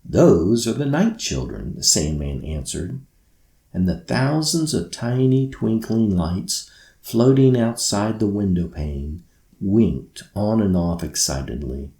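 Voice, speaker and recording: Slow, low-voiced man reading a storybook. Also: lively intonation, mellow. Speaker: author reading own book aloud. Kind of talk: scripted storybook narration. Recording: roomy echo.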